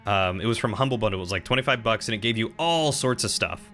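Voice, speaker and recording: A man's voice: on the low side, animated, quick pace, sharp tone, faint music behind. A man in his thirties chatting casually with viewers while commenting on the video game he plays. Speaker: game streamer.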